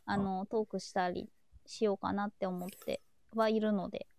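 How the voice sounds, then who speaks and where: A woman's voice: medium pitch, level tone, normal speed, creaky, a little mellow, no echo, clean studio sound. A woman co-hosting a casual live audio chat, talking in an agreeable way. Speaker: live show co-host.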